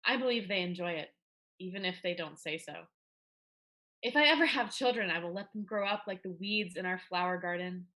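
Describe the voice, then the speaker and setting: A woman's voice: lowish voice, brisk, bright, forceful tone. A woman playing a rueful, defiant teenage schoolgirl in a dramatic reading of a period play. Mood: frank, rueful.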